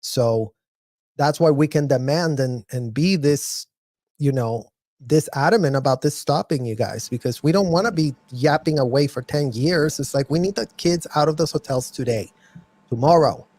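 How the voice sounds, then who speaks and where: A man's voice: on the high side, normal speed, darkish tone, dry recording. A man hosting a livestream discussion, speaking in an adamant, impassioned way. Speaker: channel host.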